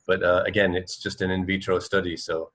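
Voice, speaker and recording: Man, low voice, casual radio discussion, tentative and halting. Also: little pitch movement, fairly bright tone.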